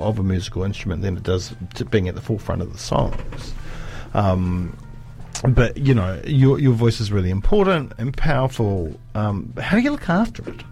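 Low-voiced male interviewer, rambling. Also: mellow. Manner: rambling, frequent agreeing fillers. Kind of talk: conversational interview.

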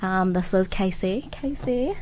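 A woman's voice: mid-range voice, a little slow. Young New woman hosting radio chat. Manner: relaxed, filler-heavy, laughs often. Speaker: radio host. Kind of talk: casual chat.

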